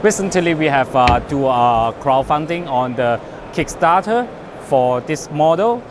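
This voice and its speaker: A man who is not a native English speaker gives an unscripted product demonstration as a company representative, speaking haltingly with broken phrasing. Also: fairly high pitch, animated. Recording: noisy trade show floor.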